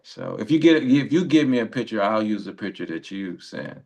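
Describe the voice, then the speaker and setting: Older male co-host, low register, casual and rambling, frequently self-interrupting. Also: expressive, normal speed, vocal fry, a little mellow, lo-fi sound. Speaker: radio show co-host.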